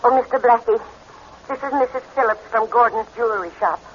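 A woman's voice: on the high side, fairly even intonation, a little fast, close to an everyday voice. Sweet, genteel elderly woman clerk, acted by a woman for a vintage radio play; dull, slightly noisy sound. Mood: polite, anxious. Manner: polite, genteel. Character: sweet, polite gray-haired old lady shop clerk. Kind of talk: 1940s radio acting.

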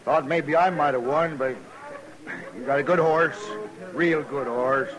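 An actor playing a proud, bitter American frontier horse owner in a 1950s radio western. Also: male voice, fairly high pitch, unhurried, over music, close to an everyday voice, poor audio. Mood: bitter, dignified. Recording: old radio recording, crowd behind.

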